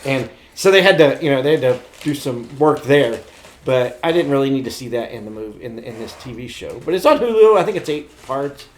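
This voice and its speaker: A middle-aged man co-hosting a podcast, telling stories about pop culture in a blunt, animated, slightly crude casual way. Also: mid-range voice, a little fast. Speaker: podcast co-host.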